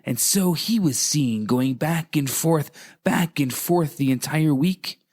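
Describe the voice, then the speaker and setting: A male rabbi tells stories on the radio in a slightly high voice. He takes his time, phrasing deliberately and repeating for drama. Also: a little mellow. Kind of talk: conversational radio storytelling.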